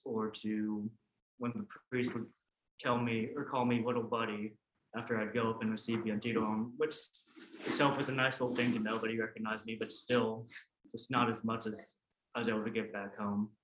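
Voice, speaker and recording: A man's voice: fairly low pitch, fairly bright tone. An American man in his twenties, a student guest telling his personal story in a reflective way. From Oklahoma, United States. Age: 20s. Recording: roomy echo, audible background noise.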